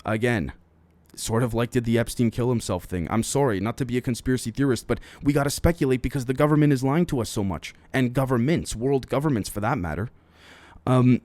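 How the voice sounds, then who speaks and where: A man's voice: mid-range voice, a little mellow, dry recording. Man, podcast host, middle-range voice, opinionated news commentary, casual and chatty, speaks straight to listeners. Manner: opinionated, speculative, conversational; frequently addresses listeners directly.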